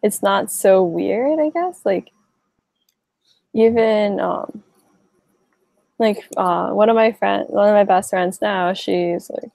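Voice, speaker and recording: Young woman from Hawaii, interviewee, gravelly and mellow, rambling casual talk. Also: medium pitch, slow, pauses often, no room sound. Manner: rambling, many likes and restarts.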